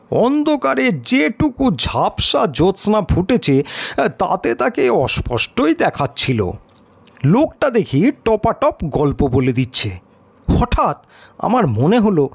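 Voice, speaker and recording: Guy playing a nervous puzzled writer telling his story, slow creaky male voice, audio drama style. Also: on the high side, no room sound, exaggerated voice. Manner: hesitant, questioning, puzzled. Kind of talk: first-person audio story narration with acted dialogue.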